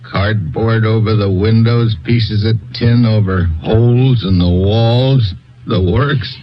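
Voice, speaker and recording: A wry hard-boiled agent. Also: male voice, fairly low pitch, slow pace, soft background music. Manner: wry, clipped tough-guy delivery. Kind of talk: 1950s radio drama acting. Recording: dull old radio broadcast.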